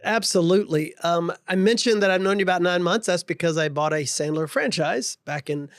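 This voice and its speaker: A man's voice: high voice, a little fast, no room sound, clean studio sound. A man hosting an interview podcast in a conversational style. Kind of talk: conversational interview with scripted show intro.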